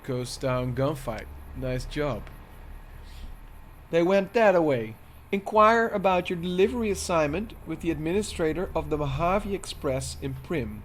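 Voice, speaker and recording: A man's voice: a little high, lively intonation, a little slow, a little hiss or hum. A man casually commenting on a game as he plays it, sniffling from a cold and musing to himself. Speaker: game streamer. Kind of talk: casual let's-play commentary.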